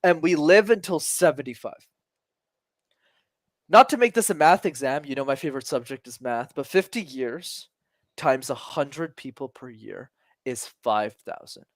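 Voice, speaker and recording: A man in his twenties, a communication coach talking as a podcast guest, energetic and emphatic, casual with some swearing. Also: mid-range voice, normal speed, no room sound. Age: 20s.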